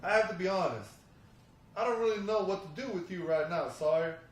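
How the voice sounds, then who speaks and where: A man reading a guarded, menacing gang leader at a script table read, stern and deliberate. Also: sits high, a little hiss or hum, natural voice. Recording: echoey room, distant mic.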